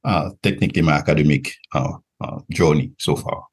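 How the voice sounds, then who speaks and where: Very low, husky man with a Haitian accent. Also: fairly quick. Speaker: podcast guest, regional director and visiting professor. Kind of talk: conversational interview answers.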